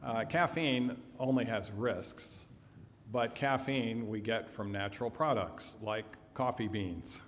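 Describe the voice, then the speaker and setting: A man giving a health lecture and answering audience questions, measured and trailing off softly at phrase ends. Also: medium pitch, a little hiss or hum. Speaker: health seminar speaker. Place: church hall. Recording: echoey hall.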